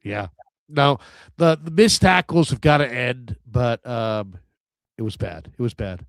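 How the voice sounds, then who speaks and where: A man's voice: mid-range voice, a little slow, dry recording. A man co-hosting a casual sports talk show. He sounds nasal and stuffed up from a cold, and he hesitates while searching for words.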